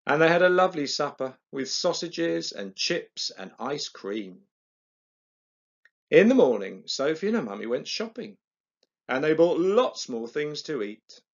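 A middle-aged man reading a children's picture book aloud expressively, with dramatic, deliberate pauses. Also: medium pitch, vocal fry, dull audio. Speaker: storyteller on an early years channel.

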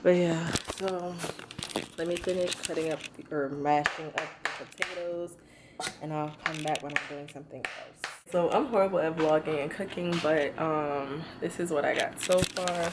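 A woman's voice: sits low, fairly even intonation, normal speed. A woman in her twenties casually narrating her cooking in a small home kitchen, rambling with many ums, filmed on a handheld camera. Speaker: vlogger. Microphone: handheld camera, close and moving. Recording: noisy kitchen with frying sounds.

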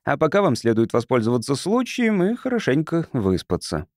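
A man reading as a proud, guarded male councillor in a science fiction audiobook. He explains things formally, with widely moving pitch, in a dry recording. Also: wildly swooping pitch, moderate pace, darkish tone, no echo. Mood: stiff, earnest.

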